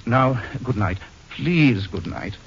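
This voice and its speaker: An actor playing a suave, world-weary gentleman sleuth with a husky voice and a dry, sardonic delivery in an old radio mystery. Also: mid-range voice, animated, slow, very noisy. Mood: resigned, dry. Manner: dry, hesitant, wry delivery. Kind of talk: 1940s-50s radio detective acting. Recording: muffled old-time radio recording.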